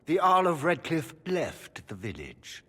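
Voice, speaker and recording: Casting: calculating sorcerer lord in a fantasy game; courtly, husky, widely swinging intonation, hall reverb. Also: male voice, fairly high pitch, huge pitch swings, measured pace, fairly bright tone, full character voice. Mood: guarded. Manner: courtly, smooth-mannered, theatrical. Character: suave, calculating male magister, father of grown son.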